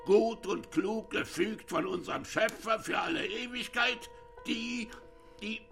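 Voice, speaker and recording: An actor playing a bitter, ailing old dike reeve in a German radio drama, gravelly and husky, halting and brooding as he speaks. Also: high-pitched, fairly bright tone, faint music behind, full character voice. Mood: scornful, agitated. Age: older. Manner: slow, brooding, halting with repeated words.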